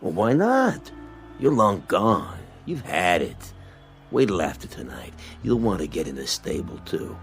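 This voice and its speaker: An actor playing a sleazy boxing manager in a radio drama, sarcastic and threatening. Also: male voice, sits low, wildly swooping pitch, measured pace, faint music behind, heavily acted. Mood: sneering.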